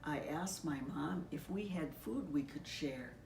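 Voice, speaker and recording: A woman reads a storybook aloud at a very slow, measured pace, with gentle expression in her delivery. Her voice sits slightly low, and she is recorded at a distance from the mic in a very echoey room. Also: lively intonation, creaky, a little hiss or hum. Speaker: pastor reading a children's story aloud.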